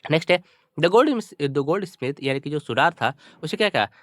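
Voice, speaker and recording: Male Indian tutor, Hindi native, lively swooping intonation, dry close sound, deliberate English reading with repeated explanations. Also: fairly high pitch, no room sound. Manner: repetitive, slow word-by-word reading of English, colloquial explanations. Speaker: English teacher explaining a story. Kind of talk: bilingual lesson, reading English phrases then explaining them in Hindi.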